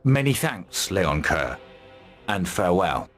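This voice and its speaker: An actor playing a gruff, blunt and confident mercenary commander in a fantasy video game. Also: male voice, low voice, sing-song, slow, heavily acted. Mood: dry, businesslike. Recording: 1990s game audio with background music.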